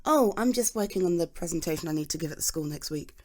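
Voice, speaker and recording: A woman's voice: on the low side, expressive, fast, lightly acted. A British woman playing a chatty, flustered geology nerd in an intimate audio roleplay, rambling fondly and animatedly as she explains things to someone close. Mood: sheepish. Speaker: ASMR roleplay voice performer.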